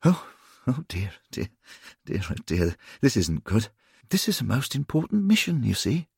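A man voicing a genteel older gentleman who frets and fusses in a husky, creaky voice. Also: medium pitch, big pitch swings, moderate pace, dry recording, studio quality, voice pushed up, heavily acted. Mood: fretful. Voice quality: husky, creaky, prim and fretful.

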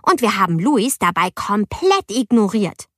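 A woman's voice: medium pitch, unhurried, dry recording, clean studio sound. A woman voicing an indignant ten-year-old girl who narrates her misadventures expressively in an audiobook. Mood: exasperated, lively. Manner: expressive, youthful, exasperated. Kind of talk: audiobook first-person narration.

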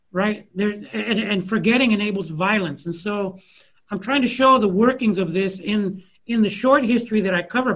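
A man's voice: high voice, a bit bright. A man, an author, answering audience questions in a thoughtful, conversational way, with many fillers and restarts as he searches for words.

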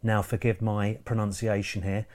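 A man's voice: on the low side, flat delivery, moderate pace, darkish tone. A middle-aged English man co-hosting a podcast, chatting casually with a dry, wry humour. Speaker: podcast co-host. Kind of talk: casual chat.

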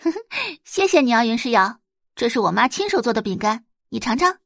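A woman's voice: on the high side, sing-song, a little fast, vocal fry, fairly bright tone, dry recording, studio quality, heavily acted. A woman voicing a chatty, friendly teenage schoolgirl with a perky, eager manner in an audio drama.

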